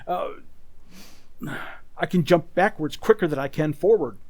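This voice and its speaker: A man's voice: a little high, expressive, unhurried, a little mellow, no echo. A man playing a troubled, suspicious husband, hesitant and brooding, in a radio mystery drama. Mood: dazed, uneasy.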